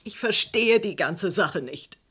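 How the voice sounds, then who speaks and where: Proud, defiant radio-play wife. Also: female voice, mid-range voice, animated, slow pace, husky, full character voice. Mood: wary. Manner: sharp, haughty, emotional. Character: proud, defiant wife defending her suspected husband. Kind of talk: 1970s German radio drama acting.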